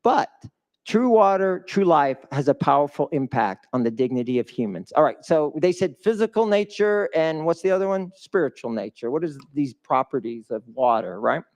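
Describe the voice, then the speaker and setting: A man's voice: fairly high pitch, sing-song, moderate pace, darkish tone. A man giving a live motivational fundraiser speech as a guest speaker, emphatic and putting questions to the audience. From a developing country.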